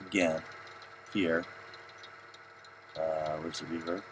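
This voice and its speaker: Very deep, slow-talking man giving a tutorial. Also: fairly even intonation, noisy background. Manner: informal, muttering to himself while working. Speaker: audio editing instructor. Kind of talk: casual screen-recorded software tutorial.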